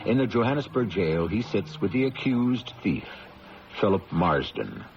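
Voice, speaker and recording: A man hosting and narrating an old radio drama in a deliberate, theatrical, grandiloquent manner. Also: on the low side, big pitch swings, vocal fry, noisy background, exaggerated voice. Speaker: host and narrator. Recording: dull old radio broadcast recording. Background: prominent music.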